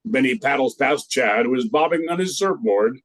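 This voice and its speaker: A man's voice: medium pitch, lively intonation, normal speed, fairly bright tone, no echo. An older man narrating a scripted radio play as its storyteller.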